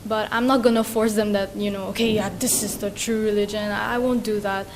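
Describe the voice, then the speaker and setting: Soft, slow-talking woman interviewee. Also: medium pitch, level tone, noisy background. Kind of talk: interview answers.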